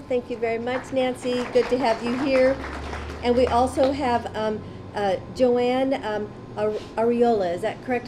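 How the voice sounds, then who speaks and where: A woman's voice: a little high, dark tone, slight background noise. A woman emceeing a student awards breakfast, graciously thanking volunteers and guests one by one. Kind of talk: ceremony announcements.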